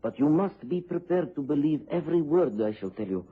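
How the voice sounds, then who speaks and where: Husky Central European gentleman. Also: on the high side, lively intonation. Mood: urgent, grave. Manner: formal, deliberate wording. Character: earnest, desperate mid-European gentleman, striking and courteous. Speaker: actor playing foreign visitor in radio drama. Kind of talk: BBC radio drama acting. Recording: muffled tinny old radio recording.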